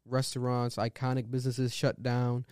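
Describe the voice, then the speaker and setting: A man's voice: medium pitch, completely flat delivery, normal speed, a little mellow, clear, resonant tone, dry recording, clean studio sound. A man hosting a casual interview, hyped and affirming, with a slangy way of talking. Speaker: interviewer host.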